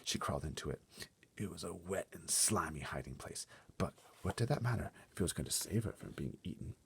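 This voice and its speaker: A man's voice: deep voice, expressive, fairly quick, gravelly, a bit bright, breathy edge. A man reading a children's story aloud expressively, with dramatic delivery and brief playful asides. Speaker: story reader.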